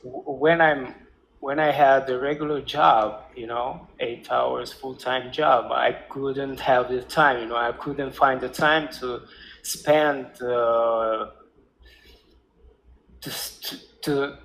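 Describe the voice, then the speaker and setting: A man in his thirties from Romania, speaking English with a Romanian accent as a second language, halting and searching for words as a guest in a casual chat. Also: medium pitch, steady tone, creaky, a bit bright, poor audio. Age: 30s. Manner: hesitant, halting, many fillers and restarts.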